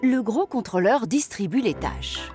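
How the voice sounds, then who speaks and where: A woman's voice: a little high, expressive, unhurried, music in the background. A woman narrating a scripted children's story as its storyteller.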